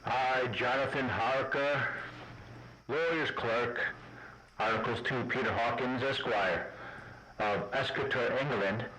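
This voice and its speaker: An earnest young-gentleman type reads from his travel journal in a radio drama, played by a man. He speaks slowly and formally at a medium pitch, over clear background noise. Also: close to an everyday voice. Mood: earnest, measured. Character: earnest English law clerk narrating his travel journal. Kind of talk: scripted radio drama narration. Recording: noisy amateur radio drama.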